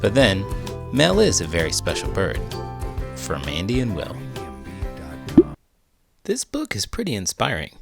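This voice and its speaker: Guy reading a kids book out loud, slow, voice goes way up and down, music in the back. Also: low voice, creaky. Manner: animated, playful storytelling. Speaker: read-aloud host. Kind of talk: expressive picture-book read-aloud.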